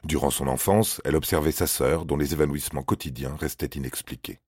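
Super deep raspy guy reading spooky true stories, grave and suspenseful, really dry sound. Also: fairly even intonation, fairly quick, a little mellow, dry recording. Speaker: narrator of a paranormal true-story podcast. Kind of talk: scripted dramatic narration of horror stories.

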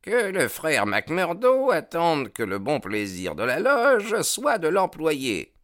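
A man reading aloud, voicing a gray-bearded old lodge secretary who speaks slowly and formally. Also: on the high side, wildly swooping pitch, a bit bright, no echo, clean studio sound, pitched above the performer's own voice, heavily acted. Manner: slow, drawn-out, formal.

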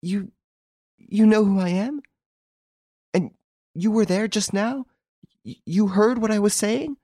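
Man playing high-pitched passionate young woman. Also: huge pitch swings, normal speed, vocal fry, darkish tone, slightly rough, no echo, studio quality, heavily acted. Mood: stammering, shocked. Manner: breathless, emotional, protesting. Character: Rose André, passionate young woman film star, defiant lover.

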